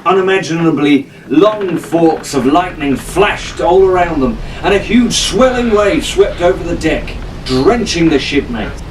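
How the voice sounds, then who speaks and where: A man's voice: on the high side, expressive, unhurried, hardly any pauses, far from the mic, background noise. A man reading an adventure story aloud as a storyteller, in dramatic scripted audiobook narration.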